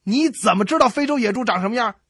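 A man acting an indignant biology teacher loudly scolding his class in a comedy radio sketch. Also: extremely high pitch, heavy background noise, voice pushed up. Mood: angry. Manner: loud, drawn-out exasperated scolding.

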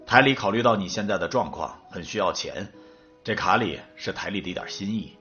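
Composed official, low dull-sounding voice. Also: male voice, animated, fairly quick, pushed and bright, faint music behind. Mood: solemn, kind. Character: composed male official briefing a colleague. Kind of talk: Chinese audio drama acting.

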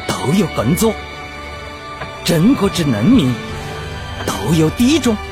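A man's voice: sits high, exaggerated voice. A man acting in a Chinese historical radio drama as an idealistic, assertive young revolutionary delegate with a fervent, oratorical delivery.

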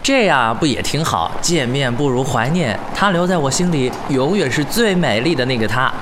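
An actor in a radio drama playing a worldly, wistful older-brother figure with a teasing, nostalgic manner. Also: male voice, medium pitch, sing-song, normal speed, close to an everyday voice. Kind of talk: Chinese radio drama acting. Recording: noisy urban vehicle ambience. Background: traffic or vehicle noise.

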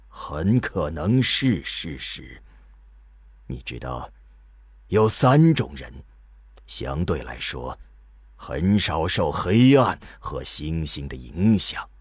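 An actor playing an old scholar in a radio drama, patiently explaining to a youngster in a measured, halting, lecturing manner. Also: male voice, rumbling low, husky, no echo, studio quality, heavily acted. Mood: patient, grave.

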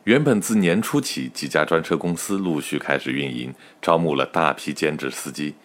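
A man's voice: rumbling low, a bit bright. A man playing a wry storyteller, narrating a satirical audio drama in a mock-solemn manner.

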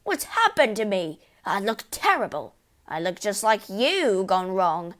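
A woman voicing a blustering, indignant middle-aged father in a storybook, shouting in outrage and panic. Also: fairly low pitch, big pitch swings, a little slow. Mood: horrified.

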